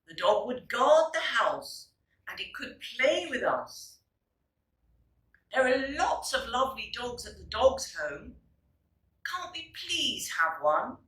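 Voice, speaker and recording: A woman acts out an eager young storybook child, pitched high and bright. The child pleads earnestly, stretching out the words in an imploring way. The sound is roomy. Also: very animated, distant mic, full character voice. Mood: pleading. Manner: earnest, imploring, drawn-out pleas.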